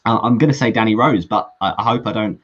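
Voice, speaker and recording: A man's voice: on the low side, fast talker, a bit bright, highs cut off. A man from England talking as a guest fan, discussing football in an earnest, analytical, casual way over an online call.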